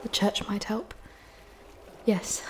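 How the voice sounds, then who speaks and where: A woman's voice: slow, darkish tone, whispered, natural voice. An actress playing a troubled, guilt-ridden woman in an audio drama, subdued, resigned and polite. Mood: haunted, resigned.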